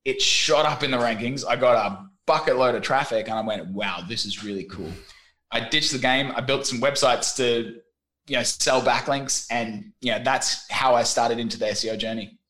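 Animated Australian man telling stories. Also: medium pitch, moderate pace. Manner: animated storyteller, acts out voices in anecdotes. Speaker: guest. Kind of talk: casual podcast interview.

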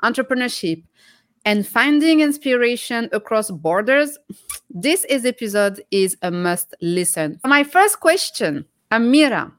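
Woman, podcast host, upbeat, promotional, slightly high-pitched, dry recording. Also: sing-song, a little slow, fairly bright tone, no echo. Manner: upbeat, promotional, multilingual greetings. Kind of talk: scripted podcast intro and interview.

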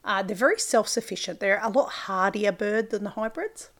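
Gravelly-voiced Australian woman podcaster. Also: medium pitch, moderate pace, a bit bright. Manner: chatty and rambling, with many fillers and self-corrections. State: hoarse, gravelly from a chest infection. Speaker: podcast host and farmer. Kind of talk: casual solo podcast chat.

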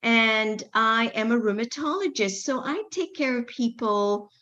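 A middle-aged woman from Kenya, a medical doctor telling her story in a casual interview, animated, often repeating words with playful asides. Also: fairly high pitch, measured pace, highs cut off.

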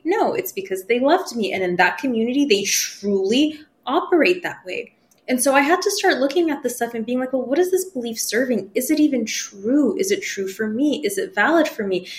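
Expressive thirties woman. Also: a little high. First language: Farsi. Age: 30s. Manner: expressive, acts out quoted voices, laughs easily. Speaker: podcast guest, spiritual medium. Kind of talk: casual interview storytelling.

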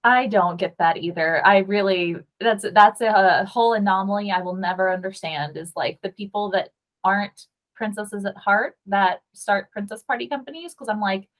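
A woman's voice: mid-range voice, moderate pace, low-quality recording. A woman hosting a casual interview podcast, speaking in a gushing, affirming manner. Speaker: podcast host.

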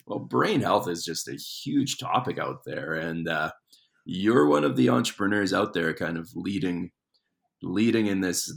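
A man's voice: deep voice, creaky, fairly bright tone. A man hosting an interview podcast, upbeat and eager as he introduces and questions his guest. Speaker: podcast host.

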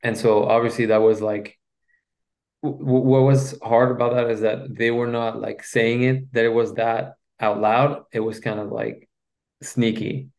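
Belgian man telling a halting personal story. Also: mid-range voice, little pitch movement, darkish tone. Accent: French-speaking Belgian. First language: French. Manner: halting, with many restarts and fillers. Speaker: guest, relationship coach. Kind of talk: personal story in a podcast interview.

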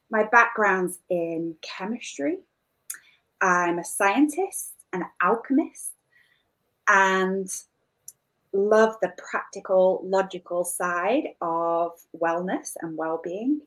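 A woman who teaches wellness, giving an earnest and enthusiastic welcome talk in a deliberate way. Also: mid-range voice, fairly bright tone, low-quality recording.

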